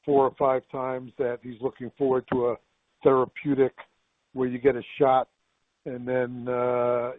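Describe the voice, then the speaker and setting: A man's voice: medium pitch, no echo. A man calling in to a conference call to ask questions conversationally. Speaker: caller asking questions. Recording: dry, narrow phone line.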